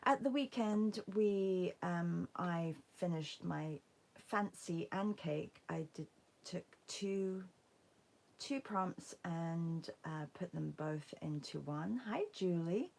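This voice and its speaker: A woman with a hoarse, croaky voice after a concert, chatting casually with viewers as she hosts an art livestream. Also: on the low side, slow pace, a little mellow, low-quality recording. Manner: chatty, greets viewers by name. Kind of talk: casual livestream chat while painting.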